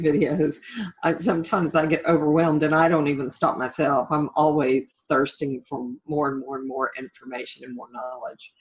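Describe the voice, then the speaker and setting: A woman's voice: low voice, a little mellow. A middle-aged woman chatting informally and reflectively as an interview guest. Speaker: interview guest, author and life coach. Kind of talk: casual interview chat. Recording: dull lo-fi call audio.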